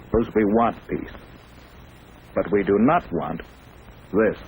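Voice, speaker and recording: A man's voice: on the low side, slow pace, a little mellow, slight background noise, low-quality recording. A man with an American accent narrates a 1950s educational propaganda film in a formal, solemn, declarative manner, on an old film soundtrack.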